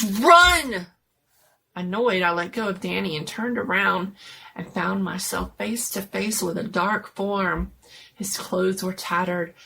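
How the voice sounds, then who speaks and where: A woman's voice: medium pitch, vocal fry, fairly bright tone, slight background noise, low-quality recording. A woman giving a dramatic, suspenseful scripted narration of a scary story.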